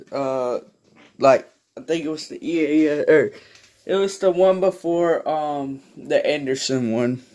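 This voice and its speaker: A man talks slowly and casually at a middling pitch, as a hobbyist vlogger would. He rambles and hesitates, often letting his sentences trail off, recorded on a handheld camera in a small bedroom. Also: darkish tone. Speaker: hobbyist vlogger showing a collection. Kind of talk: casual rambling chat to viewers. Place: small carpeted bedroom, indoors. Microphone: handheld phone or camera held by the speaker.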